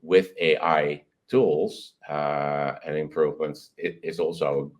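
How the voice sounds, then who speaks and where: A man's voice: extremely low pitch, fairly even intonation, takes it slowly. A man giving conversational interview answers as a company executive, often breaking off and restarting, with an enthusiastic manner.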